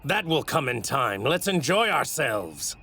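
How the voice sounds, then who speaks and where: An actor in an English anime dub playing an arrogant, sadistic god-like villain with a haughty, theatrical delivery and a gravelly, sharp-edged voice. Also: male voice, medium pitch, big pitch swings, unhurried. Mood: exultant. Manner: haughty, theatrical, savoring his words. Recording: ripped anime audio with faint noise.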